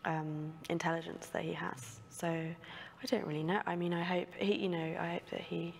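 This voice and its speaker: A woman's voice: fairly low pitch, flat delivery, moderate pace, vocal fry, in a large hall, slight background noise. A woman on a studio panel, thinking aloud with hesitant phrasing and many fillers. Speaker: panel guest. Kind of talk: panel discussion.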